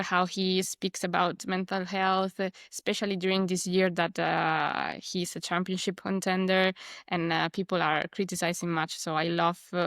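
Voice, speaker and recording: A young woman from Spain with a Spanish accent, speaking English as a second language, pausing often with fillers and laughing as an interview guest. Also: lowish voice, fairly bright tone, no room sound, clean studio sound. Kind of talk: casual interview.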